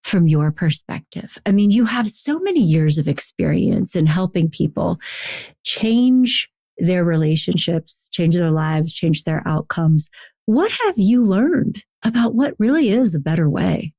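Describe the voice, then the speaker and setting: A woman's voice: on the low side, expressive, a little slow, mellow, slightly rough, no echo, studio quality. A woman hosting a podcast interview in a conversational style. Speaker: podcast host, therapist.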